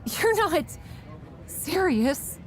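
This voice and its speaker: An indignant, desperate wrestler in a video game cutscene. Her voice is strikingly high and very animated, with drawn-out, emphatic words. The delivery is dramatic throughout. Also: slight background noise, heavily acted. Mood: distressed, indignant.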